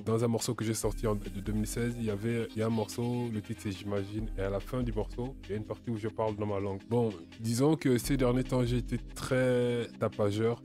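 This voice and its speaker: A man, a musician whose first language is not French, speaking haltingly with many restarts in an interview. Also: on the low side, dark tone. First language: another language than French. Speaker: musician guest. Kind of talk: casual interview talk.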